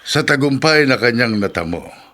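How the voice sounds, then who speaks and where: A man's voice: mid-range voice, animated, normal speed, pushed and bright. A Filipino man acting in a radio drama as a skeptical, brooding older father.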